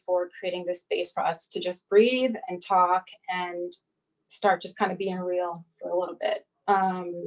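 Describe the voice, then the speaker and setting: Flat-toned woman from Barbados. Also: on the low side, poor audio. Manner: conversational, with fillers and self-corrections. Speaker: invited speaker. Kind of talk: personal talk at a live-streamed arts event.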